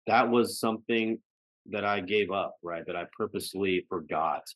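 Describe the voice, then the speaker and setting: Male podcast guest, American, very low voice, dry recording, reflective conversational delivery peppered with check-in tags. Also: moderate pace, no echo, poor audio. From United States. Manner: frequently ends phrases seeking agreement with "right" and "you know".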